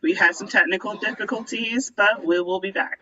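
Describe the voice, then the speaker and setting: A woman's voice: mid-range voice, steady tone, brisk, sharp tone, a little hiss or hum. A woman hosting an online event, chatting casually and playfully while giving friendly instructions to participants. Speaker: host and organizer of a virtual staged reading. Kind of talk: casual live-stream coordination. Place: remote video call. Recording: muffled video call, distant mic.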